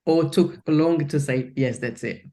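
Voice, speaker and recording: Woman mentor hosting, slow rambling questions, lots of uhs. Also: sits low, warm tone. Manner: meandering questions with many fillers and restarts. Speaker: career mentor and host. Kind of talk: interview questions.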